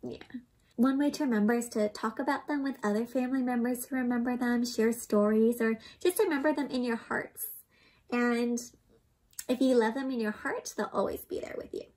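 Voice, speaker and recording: A young woman doing an interactive storybook read-aloud for children, expressive and gently teacherly, often putting questions to her listeners. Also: a little high, moderate pace. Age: young adult. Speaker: children's storybook reader and host. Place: small indoor room with books and plants.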